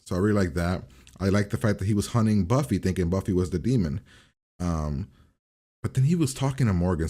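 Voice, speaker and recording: Low-voiced man rambling through a casual review. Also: dark tone. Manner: rambling, repeats and revises his points. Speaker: reaction video host.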